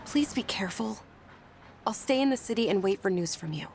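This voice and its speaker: A woman's voice: medium pitch, lively intonation, fairly quick, slight background noise, lightly acted. Game cast: woman playing a polite, hesitant young noblewoman, mid-range voice. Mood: concerned. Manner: soft-spoken, hesitant, polite. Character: gentle, caring young noblewoman. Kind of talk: game voice acting, story dialogue.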